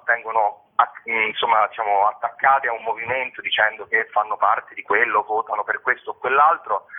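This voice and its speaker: A man giving discursive, explanatory answers as an interviewed theatre curator and actor. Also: mid-range voice. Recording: band-limited phone line or radio sound.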